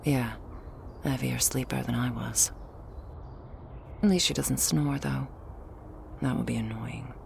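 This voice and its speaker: Woman voicing a very low, stern vampire bodyguard. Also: a little slow, creaky, pauses often, a little hiss or hum, exaggerated voice. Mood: musing, hushed. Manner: low, hushed, dry and terse. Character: Kazumi, stern, guarded female vampire bodyguard. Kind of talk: ASMR audio roleplay.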